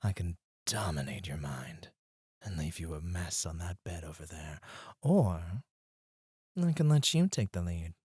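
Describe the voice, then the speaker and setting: A man playing a suave, flirtatious club escort in an intimate ASMR roleplay, teasing and breathy, close to the mic. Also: low-pitched, animated, a little mellow, dry recording, heavily acted. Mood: sultry, seductive. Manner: slow, teasing, seductive, often dropping to a breathy murmur. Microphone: close studio microphone, dry.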